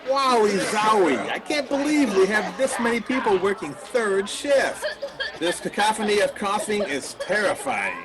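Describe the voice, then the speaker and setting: A man's voice: sits high, lively intonation, normal speed, a loud crowd. A man playing a jittery, callous company boss in a satirical radio play, exclamatory and mock-earnest. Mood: uneasy. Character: jittery, callous male meatpacking boss named Rat.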